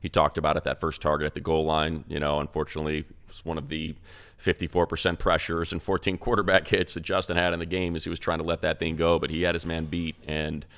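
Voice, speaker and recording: A man's voice: a bass voice, monotone, fast, few pauses, fairly bright tone, smooth. A man who works as a sports commentator and co-host, talking conversationally through plays and asking questions.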